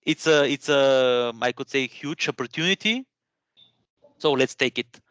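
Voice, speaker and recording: A man's voice: medium pitch, a little slow, fairly bright tone, clean tone, highs cut off. A man in his thirties who speaks English as a second language, presenting informally and encouragingly, often searching for words and repeating himself. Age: 30s. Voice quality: non-native English speaker. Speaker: guest presenter at a career webinar.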